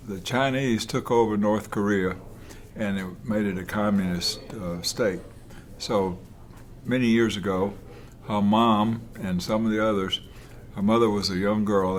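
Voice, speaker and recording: Earnest older man, low voice. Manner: earnest, halting and rambling. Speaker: lawyer, host of the video. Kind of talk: casual family speech to camera.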